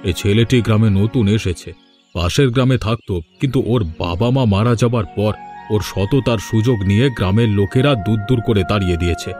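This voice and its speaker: Guy doing friendly village chief in a cartoon, kinda low voice, explains stuff genially. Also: brisk, a little mellow, natural voice. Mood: concerned. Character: kindly, familiar village headman. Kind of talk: moral-story cartoon dubbing. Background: faint music.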